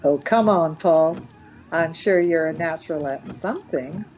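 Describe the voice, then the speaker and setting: A woman's voice: sits low, measured pace, darkish tone, dull audio, a little hiss or hum. An actress in a radio play voicing a worried, supportive woman stranded in a snowstorm. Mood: reassuring, uneasy. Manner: concerned, questioning. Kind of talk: naturalistic radio play acting.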